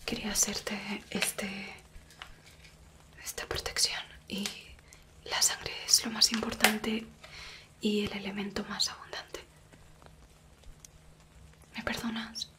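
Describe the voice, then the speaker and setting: A young woman from Spain with a Spanish accent, voicing a gentle, protective werewolf woman in an ASMR roleplay, whispering softly and coaxingly into a close mic. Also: medium pitch, little pitch movement, lightly acted. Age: young adult. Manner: soft reassuring whisper, slow and coaxing. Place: small indoor room dressed as a cabin.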